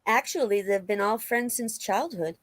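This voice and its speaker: Dry close sound, woman acting a casual investigator, agreeable, medium pitch, police interview reading. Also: moderate pace, no room sound, close to an everyday voice.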